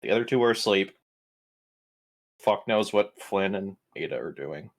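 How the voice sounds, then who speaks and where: A man's voice: low voice, animated, normal speed. A man playing a tabletop roleplaying game, bantering casually with joking profanity.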